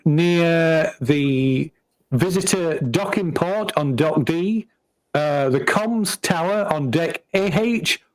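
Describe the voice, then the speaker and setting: A man running a tabletop roleplaying game as game master, halting and often searching for his words. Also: on the high side, poor audio. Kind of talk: live tabletop roleplaying session narration.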